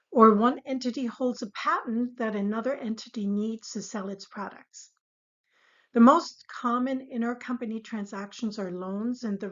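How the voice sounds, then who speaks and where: A woman instructor giving a scripted, explanatory lesson in a measured, formal way. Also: a little high. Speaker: accounting instructor. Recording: dull, lo-fi voice recording.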